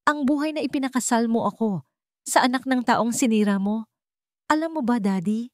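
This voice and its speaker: A woman's voice: fairly high pitch, normal speed, dry recording, studio quality, voice pushed up, natural voice. Bitter, wounded young woman voiced by a female narrator. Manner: bitter, accusing, trembling with anger and grief. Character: wounded, furious young woman confronting her father.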